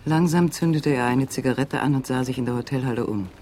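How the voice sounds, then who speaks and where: A man narrating a crime radio play in a calm, measured way. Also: mid-range voice, slight background noise. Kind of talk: scripted literary narration.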